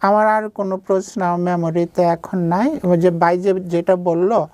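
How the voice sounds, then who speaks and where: A middle-aged woman with a Bangladeshi accent, a guest recalling childhood memories in conversation, halting and searching for words. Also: fairly low pitch, fairly even intonation, dark tone, no echo. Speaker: guest searching for lost family. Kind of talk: hesitant recollection in conversation.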